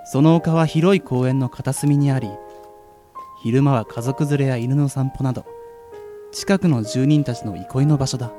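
A man playing a curious young part-timer, narrating his night in a measured, introspective radio drama style. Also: medium pitch, warm tone, faint music behind, lightly acted. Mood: reflective.

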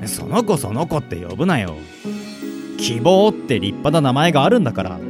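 A man playing a long-lived artificial caretaker in a radio drama, calm, devoted and casually philosophical. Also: medium pitch, moderate pace, close to an everyday voice. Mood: casual, chiding. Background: music.

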